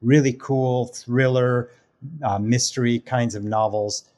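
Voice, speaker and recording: A man's voice: mid-range voice, fairly even intonation, slow pace, a little mellow. A man hosting a podcast, introducing a guest and putting questions to him. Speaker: podcast host.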